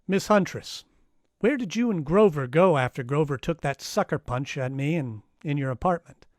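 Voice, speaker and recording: An actor playing a wry, tough private detective, narrating and questioning in an old-time radio crime drama. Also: male voice, a little high, animated, moderate pace, no room sound, clean studio sound, natural voice. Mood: guarded. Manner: wry, matter-of-fact.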